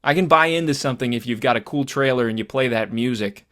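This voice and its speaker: A man co-hosting a podcast in casual chat, halting and repeating words as he thinks aloud. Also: medium pitch, fairly bright tone. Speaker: podcast co-host. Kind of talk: casual movie discussion.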